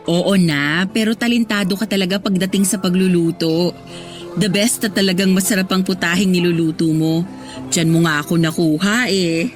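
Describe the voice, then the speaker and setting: A woman's voice: fairly low pitch, fairly even intonation, normal speed, natural voice. An actress playing an affectionate, teasing young mother-to-be and girlfriend in a radio drama. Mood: apologetic, fond. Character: affectionate woman, newly pregnant office worker and girlfriend. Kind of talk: Tagalog radio drama acting. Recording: music bed under dialogue.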